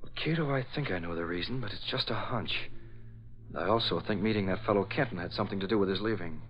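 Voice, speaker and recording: An actor playing a calm, decisive newspaperman with a secret crimefighting life, in a measured, authoritative 1940s radio style. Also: low voice, a little hiss or hum, natural voice. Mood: determined. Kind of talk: 1940s radio drama acting. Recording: dull old radio recording.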